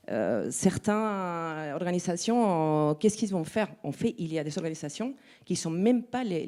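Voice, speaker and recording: Woman, non-native French accent, panel speaker, low warm voice, halting delivery with many restarts. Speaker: NGO representative on a panel. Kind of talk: spontaneous panel talk. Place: conference room.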